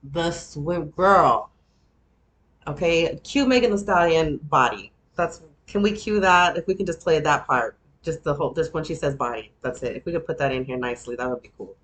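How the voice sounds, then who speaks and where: A woman's voice: low-pitched, lively intonation, normal speed, a bit bright. A woman in her thirties from Texas with a Texan twang, host of a show, chatting casually on her own in a rambling, self-deprecating way with frequent laughs. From Texas, USA. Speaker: podcast host recapping a reality show. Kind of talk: casual solo chat.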